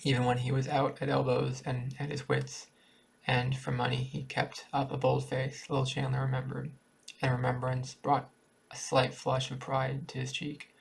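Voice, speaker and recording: A man reading a literary short story aloud in a halting way, sometimes stumbling over words. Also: mid-range voice, completely flat delivery, vocal fry, slightly rough, rough recording. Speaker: amateur story reader.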